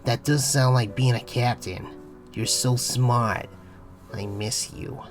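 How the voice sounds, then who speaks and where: A woman's voice: rumbling low, fairly even intonation, slow pace, faint music behind. A woman playing an anxious but earnest teenage boy space captain in a comic dub. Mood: reflective.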